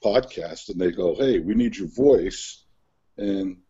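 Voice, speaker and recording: Man, slight New York accent, low, dark and husky, casual chat, dull lo-fi call. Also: slow pace. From northeastern United States. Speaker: voice actor.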